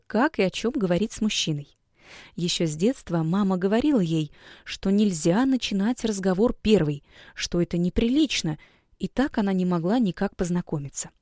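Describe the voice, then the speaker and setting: A woman with a mellow, warm voice gives a scripted lesson and speaks to her listener in a soft, coaxing way. Her recording is close and dry, with no room sound at all. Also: medium pitch, no room sound. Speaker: women's school coach. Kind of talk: scripted instructional narration.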